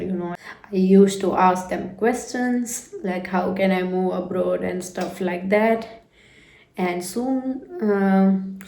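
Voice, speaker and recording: Slow, gravelly-voiced woman in her thirties. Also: mid-range voice, dark tone, reverberant. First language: not English. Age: 30s. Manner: unhurried, reflective, with frequent "you know" fillers. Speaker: vlogger. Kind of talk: reflective personal storytelling.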